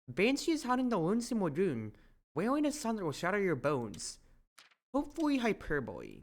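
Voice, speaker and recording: A performer voicing a curious, encouraging tavern keeper in a fantasy visual novel, gently probing. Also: mid-range voice, wildly swooping pitch, fairly quick, voice pushed up. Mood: musing.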